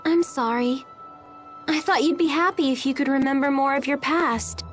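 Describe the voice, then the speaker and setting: A woman's voice: high-pitched, fairly even intonation, moderate pace, a bit bright. A woman voicing a perky, caring teenage girl in an anime game, casual and friendly. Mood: apologetic, consoling. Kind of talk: anime game dub acting. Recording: music behind.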